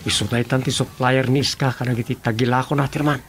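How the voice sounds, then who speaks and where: An actor playing an earnest, deferential village official in a comedy radio drama, with an Ilocano Filipino accent. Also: male voice, mid-range voice, fairly even intonation, quick pace, music in the background, natural voice. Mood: worried.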